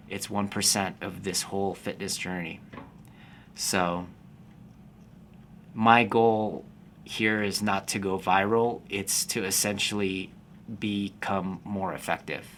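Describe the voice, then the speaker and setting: A man in his forties, born in the Philippines, a fitness business coach talking to camera in a calm, slow, unhurried way. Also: deep voice, slight background noise. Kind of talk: casual talking-to-camera vlog.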